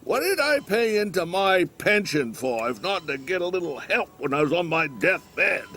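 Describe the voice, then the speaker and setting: An actor playing a grumpy, sardonic old American bookshop owner complaining from a hospital bed in an audio drama. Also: male voice, high-pitched, big pitch swings, moderate pace, bright, forceful tone, faint music behind, heavily acted. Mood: crotchety, sardonic. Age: older.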